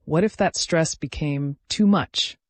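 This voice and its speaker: A man voicing a cold, menacing female villain in an audiobook thriller, with measured, taunting delivery. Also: on the high side, no echo. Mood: cold threatening.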